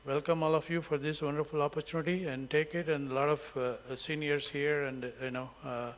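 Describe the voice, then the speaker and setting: A man giving a formal welcome address at a symposium, pausing often with fillers. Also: on the high side, flat delivery. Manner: hesitant, many fillers. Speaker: senior scientist welcoming attendees. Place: symposium hall.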